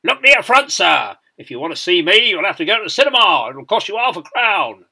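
A man's voice: sits high, very animated, brisk, vocal fry, sharp tone, breathy edge, pitched above the performer's own voice, full character voice. Man acting out a bellowing, fierce army sergeant major. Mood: barking commands. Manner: bellowing parade-ground commands. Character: booming, stern British army sergeant major, fierce drillmaster. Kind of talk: dramatised storytelling.

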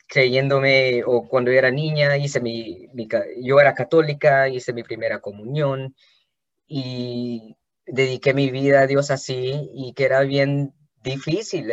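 Slow, hesitant man on a podcast. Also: mid-range voice, muffled recording. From Los Angeles, California. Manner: slow, hesitant, with many restarts. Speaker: podcast host. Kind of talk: personal monologue.

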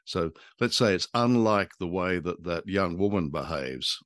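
Casting: older male co-host, deep voice, close dry sound, conversational. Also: lively intonation, measured pace, fairly bright tone, no echo. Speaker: podcast co-host. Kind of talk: casual chat about a radio drama.

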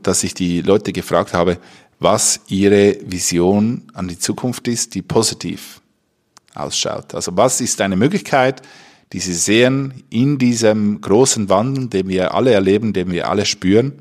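A man hosting a podcast, speaking casually and off the cuff, haltingly searching for words. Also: low voice, a little mellow. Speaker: podcast host and interviewer. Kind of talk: casual unscripted podcast talk.

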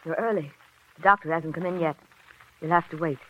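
An actress playing a cool, guarded doctor's nurse, clipped and formal in 1940s radio drama style. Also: female voice, low-pitched, steady tone, brisk, pushed and bright, soft background music. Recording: thin, phone-like vintage radio recording.

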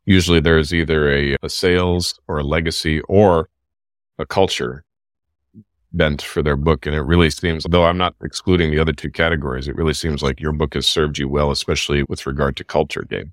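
A man's voice: rumbling low, steady tone, brisk, no echo, studio quality. A man co-hosting a podcast, reflectively summarizing what his guest has said in casual conversation. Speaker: podcast co-host.

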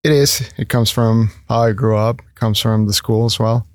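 A man's voice: mid-range voice, fairly even intonation, moderate pace, no room sound, clean studio sound. A man in his thirties from Ecuador, a guest in a casual interview, talking earnestly with many fillers and restarts. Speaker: guest, entrepreneur.

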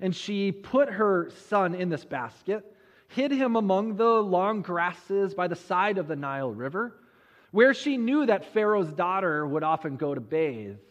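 A man preaching a sermon, telling a Bible story conversationally with dramatic pauses and rhetorical questions. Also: high voice, animated. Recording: muffled audio with long hall reverb.

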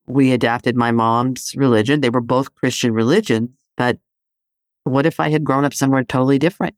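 Solo podcast host raised in Greece, reflective and needling, middle pitch, dry close sound. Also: dry recording. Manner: provocative, poses rhetorical questions; conversational with frequent "you know, right?".

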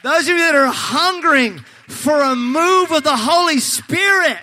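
A male pastor preaching a sermon, fervent and folksy, joking with the congregation and breaking into shouts. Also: extremely high pitch, unhurried. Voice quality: slightly gravelly.

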